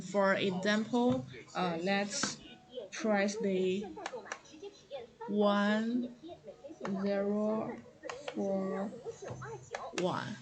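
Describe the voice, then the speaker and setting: A woman giving an unscripted product tutorial, explaining step by step in a halting, hesitant manner. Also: medium pitch. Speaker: product demonstrator.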